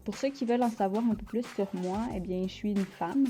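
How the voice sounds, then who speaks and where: A woman's voice: mid-range voice, a little fast, warm tone, soft background music. A woman from Quebec with a Quebec French accent, hosting her art channel in casual, unscripted talk and often hesitating as she searches for words. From Quebec, Canada.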